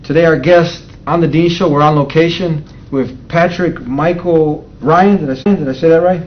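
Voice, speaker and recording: Halting, chatty male interview host. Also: fairly high pitch, fairly even intonation. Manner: conversational, halting, frequent restarts. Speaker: show host. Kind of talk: on-location interview. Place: on location. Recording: slightly dull sound with faint noise.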